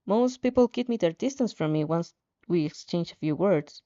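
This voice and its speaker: A woman's voice: lowish voice, animated, moderate pace, mellow, muffled recording. A woman reading a story expressively, with casual, playful asides and the odd stumble over words. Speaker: fan fiction reader reacting as she reads.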